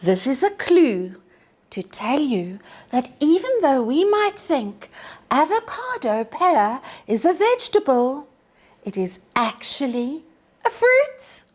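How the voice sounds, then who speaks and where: Lady doing storytime for little kids, slow n expressive, super up and down voice. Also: high-pitched. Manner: deliberate, expressive, addressing young children. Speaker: storytime reader for children. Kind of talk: children's storybook narration.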